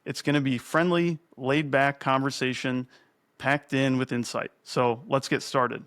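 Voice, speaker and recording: A man's voice: mid-range voice, steady tone, measured pace, fairly bright tone. A man in his thirties hosting a podcast from a home studio on a broadcast mic, reading a scripted introduction and then chatting in a friendly, upbeat way. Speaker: podcast host. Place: home studio with shelves, indoors. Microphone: broadcast mic on arm.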